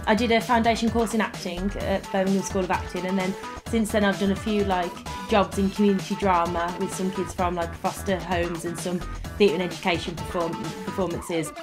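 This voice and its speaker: A woman's voice: fairly low pitch, level tone, brisk, over music. A young woman who trained in acting, speaking casually in a documentary interview and often saying "like". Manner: chatty, lots of "like". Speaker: interviewee, actor.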